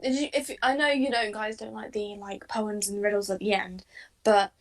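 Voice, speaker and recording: A woman's voice: medium pitch, steady tone, normal speed. A woman chatting casually and chiming in during a group conversation. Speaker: reactor on a group reaction show. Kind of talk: casual chat, short interjections.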